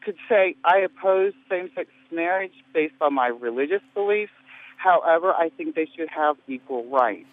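A man calling in to a radio debate, answering in a halting, hesitant way with many restarts. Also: on the high side, expressive, no echo. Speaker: caller. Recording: tinny phone line.

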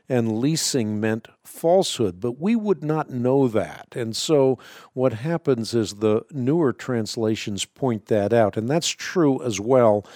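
A man whose first language is Dutch, answering a caller on a radio show in a measured, authoritative way. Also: lowish voice, a little mellow, no room sound, studio quality. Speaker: radio Bible-answer host.

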